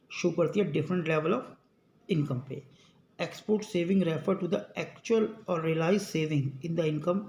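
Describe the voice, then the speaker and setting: A male teacher giving an economics lesson, reading English definitions slowly and explaining them quickly. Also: a little high, gravelly, darkish tone. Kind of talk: classroom economics lecture. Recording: echoey room with faint ambient noise.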